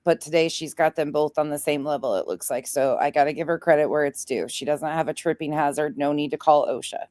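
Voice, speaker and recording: A woman's voice: deep voice, a little fast, hardly any pauses, a little mellow, no room sound. A woman giving casual reaction commentary in a blunt, sarcastic, crude-talking way. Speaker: online show commentator.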